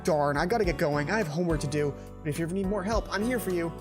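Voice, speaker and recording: A man's voice: fairly high pitch, rapid-fire, lightly acted. A man voicing a cheerful, helpful young male student tour guide from a visual novel, earnest and chatty. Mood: eager, friendly. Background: game music.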